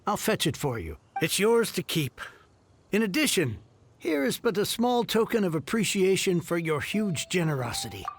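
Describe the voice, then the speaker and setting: A man's voice: sits high, very animated, normal speed, a little hiss or hum. A man voicing a grateful middle-aged male merchant heir in a video game, speaking earnestly and effusively, with a little grumbling.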